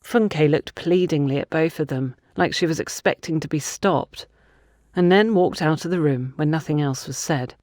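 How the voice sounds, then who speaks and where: Female audiobook narrator, slightly low pitch, dry studio-close sound, telling a novel. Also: normal speed, a little mellow, no echo. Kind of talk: scripted fiction narration.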